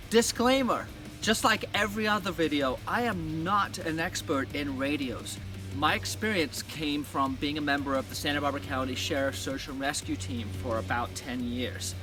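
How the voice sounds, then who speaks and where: A middle-aged American man narrates a scripted gear review. His voice sits slightly high, and his delivery is plain-spoken. Soft music runs behind him. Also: distant mic. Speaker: presenter of an off-road gear channel.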